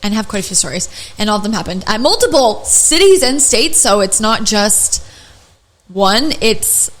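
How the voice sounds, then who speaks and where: A young adult woman with a raspy voice, hosting a podcast and telling stories in casual chat full of fillers and restarts. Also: mid-range voice, animated, normal speed. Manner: chatty storyteller, many fillers and restarts. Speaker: podcast host.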